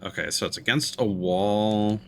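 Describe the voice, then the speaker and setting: A man's voice: lowish voice, moderate pace, fairly bright tone, slight background noise. A man giving casual gameplay commentary as a streamer, thinking aloud as he plays. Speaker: video game streamer. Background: game sound.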